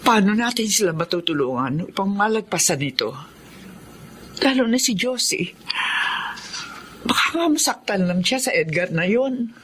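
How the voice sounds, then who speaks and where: A woman's voice: mid-range voice, animated, normal speed, long pauses, fairly bright tone, slight background noise, close to an everyday voice. An actress playing a kindly, anxious middle-aged mother in a Filipino radio drama, with a Filipino accent. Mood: worried.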